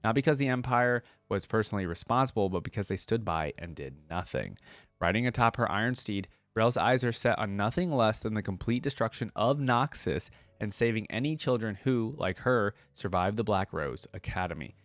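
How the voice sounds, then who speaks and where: A man's voice: on the low side, lively intonation, normal speed, no echo. A man hosting a reaction video, reading story lore aloud and breaking into casual commentary.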